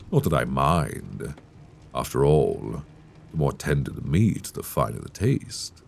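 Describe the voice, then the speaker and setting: Sadistic vampire lord for roleplay audio: gravelly, theatrical, mocking and seductive, with widely swooping intonation, close-miked. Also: male voice, darkish tone, heavily acted. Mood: mocking, amused. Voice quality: gravelly, very low. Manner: silky, taunting, theatrical, with drawn-out menacing phrases. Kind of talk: intimate roleplay audio drama monologue. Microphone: close binaural microphone.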